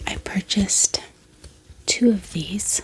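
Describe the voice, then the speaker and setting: Whispering, unhurried woman doing ASMR. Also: medium pitch, low-quality recording. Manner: gentle, slow, hushed delivery with pauses. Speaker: ASMR book channel host. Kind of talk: soft-spoken whispered ASMR.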